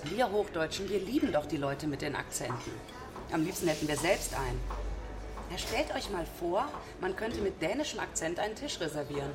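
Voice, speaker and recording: An actress plays a plaintive, self-critical woman chatting with friends. Her pitch moves a lot as she muses, and music plays clearly behind her. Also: animated, brisk, lightly acted. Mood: wistful. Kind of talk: conversational radio drama acting.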